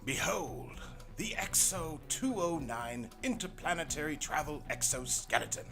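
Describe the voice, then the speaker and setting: A man playing a cheerful, fussy older gentleman scientist in a comic audio drama, formal and theatrical. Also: on the high side, expressive, unhurried, vocal fry, music in the background. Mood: proudly excited.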